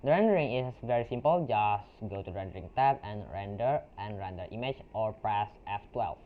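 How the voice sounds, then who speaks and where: A man's voice: lowish voice, lively intonation. Young Indonesian man, tinny lo-fi mic. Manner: halting, with frequent restarts and fillers. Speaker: tutorial creator. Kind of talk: software screen-recording tutorial. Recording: tinny lo-fi mic with faint noise.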